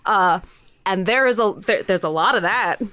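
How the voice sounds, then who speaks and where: A woman's voice: medium pitch, moderate pace, sharp tone, clear, resonant tone, dry recording. A young woman chatting casually as a podcast guest, emphatic and openly opinionated, with informal, sweary phrasing. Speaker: podcast guest, indie audio drama creator. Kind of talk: casual interview conversation.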